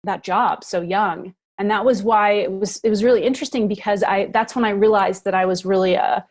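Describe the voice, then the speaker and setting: A woman in her forties speaks as a podcast guest in an easy, unscripted way. She reflects openly on her own experience and sprinkles her talk with "like" and "um." Her voice sits at an everyday middle pitch. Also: fairly even intonation, brisk, a little mellow. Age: 40s. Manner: reflective, candid, peppered with "like" and "um". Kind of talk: casual interview chat.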